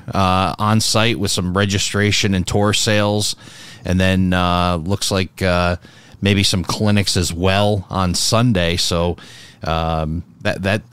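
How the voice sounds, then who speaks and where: A man with a fairly low voice hosts a podcast interview. He asks questions and reads out details casually, recorded close and dry with no room sound. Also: smooth, dry recording.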